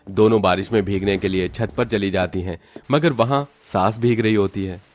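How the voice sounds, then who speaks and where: A man's voice: fairly low pitch, moderate pace, soft background music. A man giving scripted narration of a story as its narrator.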